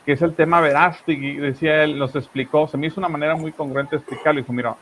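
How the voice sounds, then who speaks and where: Monterrey guy doing political chat, kinda high voice, lively, bit of hiss behind. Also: fast talker, low-quality recording. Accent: Monterrey Mexican Spanish. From northern Mexico, Monterrey. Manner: animated, emphatic, talks in long run-on asides. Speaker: news commentary co-host.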